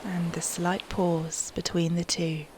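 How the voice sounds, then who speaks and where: A woman guides a meditation in a gentle, fairly low voice. She speaks very slowly, letting phrases fade into soft breath and leaving long pauses. A clear, steady hiss runs behind her.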